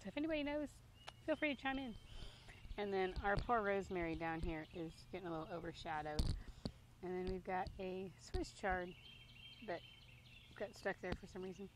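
A woman's voice: lowish voice, moderate pace, long pauses. A woman in her thirties giving a casual garden tour, talking into a handheld phone outdoors. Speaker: gardening vlogger. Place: outdoors in a backyard vegetable garden. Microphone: handheld phone at arm's length. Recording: noisy outdoor phone recording.

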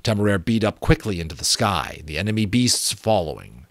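A man in his thirties with a low voice reads an adventure novel aloud. His delivery is expressive, with the odd small stumble. The sound is close and dry. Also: brisk, no room sound. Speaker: audiobook reader. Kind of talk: dramatic reading of an adventure novel. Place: small home room with bookshelves. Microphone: close desk microphone.

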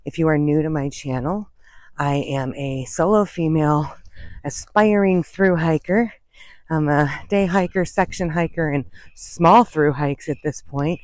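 A woman's voice: low-pitched, darkish tone, dry recording. A woman in her fifties chatting casually to the camera as a hiking vlogger, outdoors on a trail, with a conversational manner that wanders into asides. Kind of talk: casual chat to camera, giving tips. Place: outdoors on an open woodland and prairie trail. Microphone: phone or camera at arm's length.

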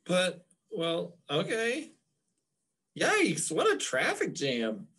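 A woman voicing an excitable, whiny teenage boy turned raindrop in a theatrical comic strip read-aloud. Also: lowish voice, drawn-out words, breathy edge, exaggerated voice. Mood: flustered. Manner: theatrical, exclaiming, hesitant.

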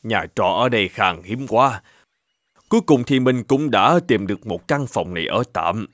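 Man acting a kind merchant, widely swinging pitch. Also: expressive, moderate pace, a bit bright, no room sound, clean studio sound. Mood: calm, resigned. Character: kind middle-aged travelling merchant, honest and soft-hearted. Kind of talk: animated folk tale dubbing.

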